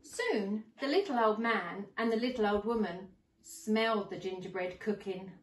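A woman in her forties reading a storybook to young children as a teacher, expressively and deliberately. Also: medium pitch. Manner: deliberate, animated. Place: living room at home. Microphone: camera a few metres away. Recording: roomy, distant camera microphone.

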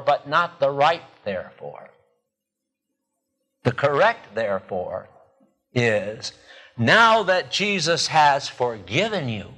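Man in his sixties, preacher, slow emphatic delivery, widely swinging intonation, pulpit sermon. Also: high voice, sharp tone. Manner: emphatic, deliberate, with pauses and repeated phrases. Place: church pulpit, indoors. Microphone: two podium microphones.

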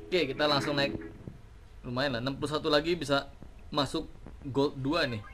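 A man in his thirties giving excited play-by-play on a mobile game as he plays, cheering on his units, with a slight cough. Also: mid-range voice, moderate pace, slight background noise. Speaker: gaming YouTuber. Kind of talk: mobile game commentary and tutorial. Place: indoor room at a desk. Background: game music.

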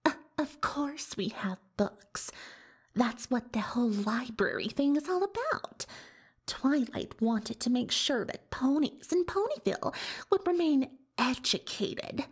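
A woman voicing a boastful, dramatic young female unicorn magician in soft-spoken, often whispered roleplay ASMR, theatrical and self-important. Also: high voice, sing-song, measured pace, breathy edge, full character voice. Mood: haughty surprise. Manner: theatrical, self-important, speaks of herself in third person.

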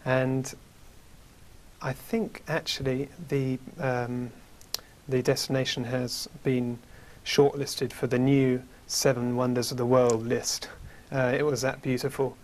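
British man with a flat, even voice. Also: mid-range voice. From United Kingdom. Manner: measured, hesitant with fillers. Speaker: guest. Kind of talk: studio interview, recounting travels. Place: small studio with curtain backdrop. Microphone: lapel mic.

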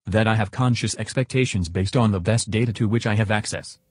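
An earnest chatbot reenacted by a man speaks in a lowish, husky voice. It gives short, philosophical, matter-of-fact answers as a podcast guest, in a dry recording. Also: a little fast, darkish tone, no echo. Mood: earnest, measured. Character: earnest, philosophical male chatbot being interviewed.

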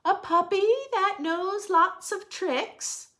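A woman's voice: very high voice, fairly even intonation, takes it slowly, pushed and bright, pitched above the performer's own voice, full character voice. A woman voicing a theatrical, pushy female keeper of a magic pet shop in a picture book, with dramatic, coaxing patter. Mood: eager selling.

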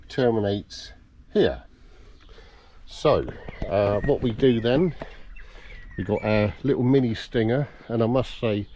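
A man's voice: lowish voice, warm tone, lo-fi sound, slight background noise. A middle-aged man giving a casual, plain-spoken how-to demonstration. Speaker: presenter of a car DIY video. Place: outdoors in a yard and inside a parked car.

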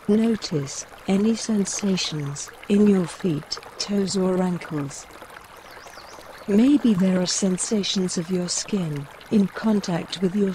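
A woman gently guiding a mindfulness meditation in measured short phrases, with pauses between them. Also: fairly low pitch, slight background noise. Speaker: meditation guide. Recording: clear running stream water behind the voice. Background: trickling woodland stream.

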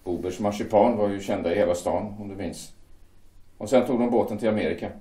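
A dutiful, worried shipyard foreman, played by a man in an audio drama. He speaks hesitantly, with a low voice. The room echoes noticeably. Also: warm tone, natural voice. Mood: grave. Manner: hesitant, defensive. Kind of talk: amateur audio drama acting.

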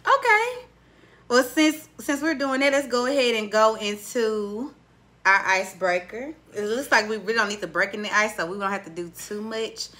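A woman in her late twenties hosting a casual podcast interview, chatty and expressive, playfully teasing her guest, recorded on a studio mic in a small room. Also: fairly high pitch, normal speed. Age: 20s. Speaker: podcast host. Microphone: studio mic on boom arm.

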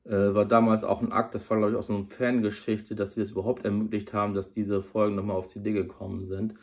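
A man's voice: lowish voice, flat delivery, quick pace, a little mellow. A man in his thirties who has a cold, talking casually to a close camera in a small indoor room, rambling with many fillers. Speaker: audio drama reviewer. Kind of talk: casual review talk to camera. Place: small room under a sloped roof, indoors. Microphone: device camera at close range.

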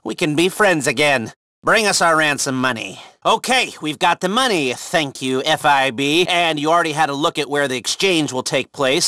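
An actor playing a chatty, sardonic agent handler who gives game mission orders with wry, hesitant asides. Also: male voice, fairly high pitch, pushed and bright, no echo, clean studio sound. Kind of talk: conversational video game mission dialogue.

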